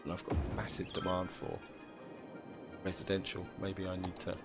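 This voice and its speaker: A British man with a croaky voice from a chest cold, chatting casually as he thinks aloud through a city-building game. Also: low voice, completely flat delivery, normal speed, music in the background. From Britain. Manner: thinks aloud, chatty, addresses viewers as guys. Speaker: gameplay commentator.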